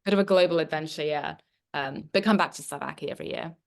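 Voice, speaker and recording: Woman, low voice, chatty relationship coach guest. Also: quick pace, creaky. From England. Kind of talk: casual interview chat.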